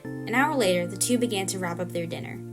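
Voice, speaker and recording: A woman's voice: fairly low pitch, quick pace. A woman reading scripted narration for an audio drama short story. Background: music.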